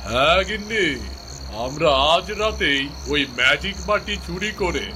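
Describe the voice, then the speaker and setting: A man playing a greedy village husband who goes along with his wife, in a drawn-out fairy-tale cartoon style. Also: high-pitched, expressive, pushed and bright, slight background noise, full character voice. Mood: gleeful, greedy.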